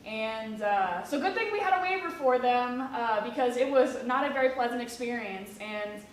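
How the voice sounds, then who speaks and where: A woman's voice: a little high, expressive, moderate pace, a little hiss or hum. A young woman in her twenties from Kentucky, chatting casually in an interview with many fillers. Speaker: museum education specialist. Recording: echoey room from a distance.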